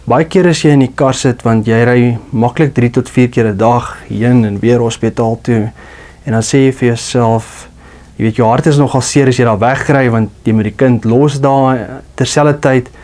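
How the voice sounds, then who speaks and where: A man from Namibia speaks an earnest listener testimony. He is reflective and pauses to gather his thoughts, and his voice sits at an everyday middle pitch. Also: a little mellow. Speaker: radio listener giving a testimonial. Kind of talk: personal testimony.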